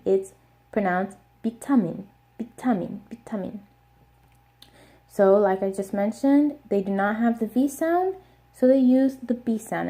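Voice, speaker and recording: American woman, young adult, warm mellow tone, casual lesson, careful repetition. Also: medium pitch. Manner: explains step by step, repeats words slowly. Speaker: language teacher.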